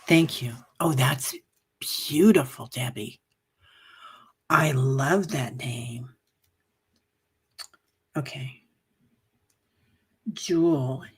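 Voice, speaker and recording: A woman hosting a livestream, chatting casually and rambling with many fillers, reading out viewer comments, coughing and a bit hoarse. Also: extremely low pitch, very slow, pauses often. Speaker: livestream meditation host. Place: home livestream.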